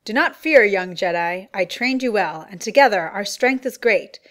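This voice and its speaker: A woman playing a wise, calm male mentor in a family radio play, measured, reassuring and formal. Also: mid-range voice, lightly acted.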